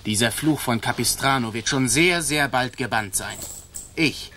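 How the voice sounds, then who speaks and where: An actor playing a boastful, swaggering colonial army sergeant in a radio drama. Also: male voice, mid-range voice, a little slow, slight background noise. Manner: swaggering, theatrical, loud-mouthed. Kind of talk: German radio drama acting.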